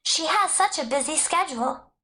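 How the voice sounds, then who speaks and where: A woman narrator with a high voice reads scripted educational material aloud. She delivers it as prepared narration for learners. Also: animated, normal speed, sharp tone, rough recording. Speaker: audiobook narrator.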